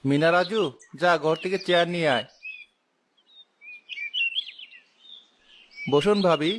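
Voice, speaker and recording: A man voicing a firm, kindly village husband and father in a cartoon, measured and reasoning. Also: fairly high pitch, noisy background, close to an everyday voice. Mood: polite.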